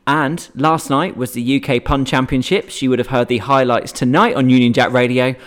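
A man's voice: medium pitch, fairly even intonation, normal speed. A man presenting on radio, delivering a scripted link between segments.

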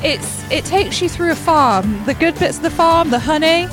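A woman's voice: high-pitched, animated, normal speed, over music. A woman comedian trading casual, jokey banter as an interviewee.

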